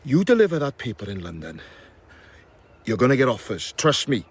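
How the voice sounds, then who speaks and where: Husky, frank male doctor friend. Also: mid-range voice, very animated, moderate pace. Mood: proud, urging. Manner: frank, persuasive, gently urging. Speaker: actor in a radio drama. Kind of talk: naturalistic radio drama acting.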